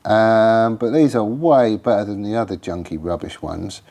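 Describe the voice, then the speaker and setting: A man's voice: fairly low pitch, moderate pace, dark tone. A man, congested and losing his voice from a cold, chatting casually about a motorbike frame build while hosting his channel. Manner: rambling and informal, with frequent ums and trailing restarts. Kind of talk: casual workshop chat to camera. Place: home garage workshop.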